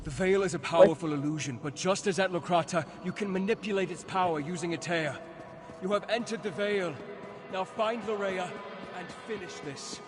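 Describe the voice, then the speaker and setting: A man's voice: high-pitched, moderate pace, music in the background, lightly acted. An actor playing an earnest, urgent male guide in a video game, speaking in a solemn, imploring, formal manner. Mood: grave instruction.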